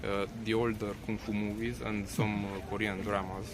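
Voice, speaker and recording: A young man from Romania answering a street interview, hesitant, with many pauses and repeated words. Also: lowish voice, little pitch movement, background noise. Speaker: tourist interviewee.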